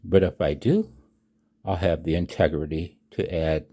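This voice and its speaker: Older American male, 60s, deep and unhurried, reading a personal statement for a hobby vlog with emphatic, dramatic delivery. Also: gravelly, warm tone. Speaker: amateur radio vlogger. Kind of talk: reading own written announcement aloud with asides.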